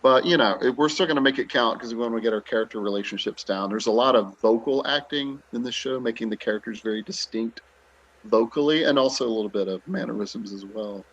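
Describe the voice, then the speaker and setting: A man's voice: medium pitch, fast, a bit bright, slightly rough, lo-fi sound. A man talking casually in an interview as a theatre director and actor, explaining things in an informal, easygoing way.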